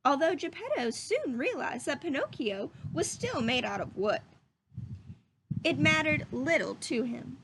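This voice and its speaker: Slow, animated high-voiced woman reading aloud. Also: a little hiss or hum, poor audio. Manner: slow, animated storytelling with asides to listeners. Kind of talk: expressive children's read-aloud.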